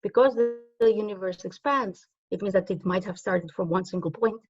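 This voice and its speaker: A woman's voice: medium pitch, normal speed, muffled recording. A woman from Greece, a native Greek speaker, giving a conference talk in a conversational way, with frequent ums and self-corrections.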